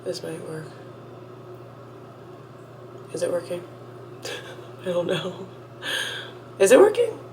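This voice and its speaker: A young woman talks into her phone at home as she gets ready, rambling and cutting herself off mid-thought. Her voice sits slightly low and sounds a bit stuffy from a cold she is getting over. A faint background hum runs under the recording. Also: huge pitch swings, slow, long pauses. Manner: chatty, rambling, self-interrupting. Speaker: vlogger. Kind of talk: casual get-ready-with-me chat. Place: at home, indoors.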